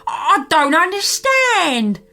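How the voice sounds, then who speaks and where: Gruff storybook pirate captain, acted by a woman, hungry for treasure, with very lively delivery. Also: very high voice, takes it slowly, soft background music, pitched above the performer's own voice, heavily acted. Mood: dumbfounded. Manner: pirate turns of phrase.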